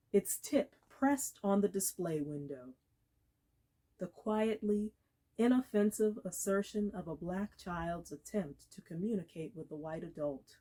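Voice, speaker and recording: A woman reads literary fiction aloud as a dramatic performance. She takes her time, letting phrases land with deliberate pauses. Her delivery is expressive and measured, at a medium pitch. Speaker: actor reading aloud.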